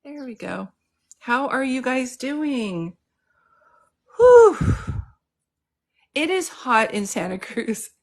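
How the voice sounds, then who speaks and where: A woman's voice: high-pitched, sing-song, takes it slowly, long pauses. Chatty woman greeting live-stream viewers. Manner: chatty, greets viewers by name, reads comments aloud. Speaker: psychic medium host. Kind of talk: casual live-stream chat. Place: home in Santa Cruz.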